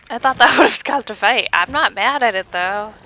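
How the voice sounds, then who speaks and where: A woman streaming a game, chatting softly and giggling, slightly raspy from allergies. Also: fairly high pitch, moderate pace, poor audio. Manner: relaxed, giggly, reads choices aloud. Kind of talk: soft-spoken gameplay chat. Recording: faint background noise.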